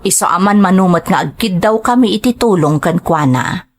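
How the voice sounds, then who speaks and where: A woman's voice: lowish voice, lively intonation, moderate pace. A woman giving scripted narration of a letter for a drama story.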